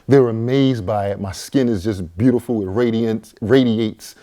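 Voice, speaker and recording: A man's voice: lowish voice, normal speed, warm tone. A man in his forties, a vegan chef and co-author, telling his own story to the camera in a casual, conversational way with fillers and repeated words. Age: 40s. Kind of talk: personal testimony to camera.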